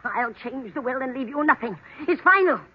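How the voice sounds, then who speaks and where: Cranky rich old aunt, scolding, rough husky voice, muffled vintage radio drama. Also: female voice, high voice, animated, moderate pace. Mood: furious, shrieking. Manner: curt, scolding, imperious. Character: sour, grouchy, hot-tempered rich old aunt. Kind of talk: 1940s radio drama acting.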